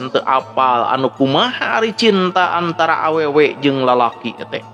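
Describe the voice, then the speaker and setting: A man's voice: medium pitch, fairly bright tone. A man with a Sundanese accent narrating a dramatic story as a storyteller. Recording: background music under the voice. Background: music.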